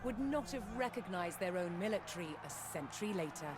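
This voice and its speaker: A woman delivering scripted historical documentary narration in a measured, solemn storytelling manner. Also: lowish voice, lively intonation, heavy background noise. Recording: prominent music behind the voice. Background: music.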